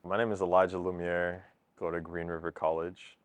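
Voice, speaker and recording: A young man who is a student, calmly and reflectively telling his family background in an interview. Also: sits low, fairly quick, dry recording. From California. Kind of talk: interview answer.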